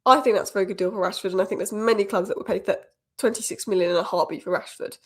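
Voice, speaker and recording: A woman rattles through football news at a brisk clip, her voice a little croaky from a cold. She gives strong fan opinions in quick, decisive bursts, at a middle pitch. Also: fairly even intonation. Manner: opinionated, rapid-fire fan commentary. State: has a cold, slightly croaky. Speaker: football channel host. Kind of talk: fast solo news roundup.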